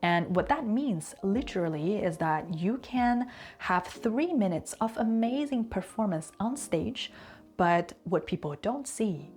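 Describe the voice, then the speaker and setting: A woman whose first language is Chinese, giving an earnest, reflective talk on consistency and slowing down to stress key words. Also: medium pitch, expressive, a little mellow. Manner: conversational asides and fillers. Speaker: language and culture teacher.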